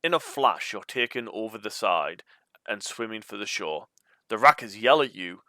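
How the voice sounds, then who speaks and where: A man's voice: lowish voice, lively intonation, moderate pace, bright, forceful tone, dry recording, studio quality. A man hosting a read-aloud of a gamebook, moving between storybook narration and informal, chatty commentary. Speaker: host reading a gamebook aloud.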